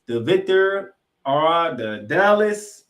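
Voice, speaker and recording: An American man hosting a football recap, announcing names and stats in an emphatic, drawn-out way. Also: fairly high pitch, very animated, a bit bright. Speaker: sports podcast host. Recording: roomy, echoing sound.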